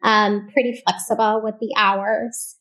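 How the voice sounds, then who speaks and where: A woman's voice: mid-range voice, flat delivery, measured pace, low-quality recording. A woman doctor from Puerto Rico whose first language is Spanish, speaking earnestly as a podcast guest, often tagging "right?" and using fillers. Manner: earnest, warm-hearted, with many fillers and "right?" tags. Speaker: physician guest. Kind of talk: podcast interview.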